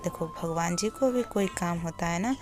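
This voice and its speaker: A woman voicing a kind nine-year-old boy who gently comforts a crying child, with soft background music. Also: fairly low pitch, moderate pace, close to an everyday voice. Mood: soothing. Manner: gentle, soothing, soft-spoken.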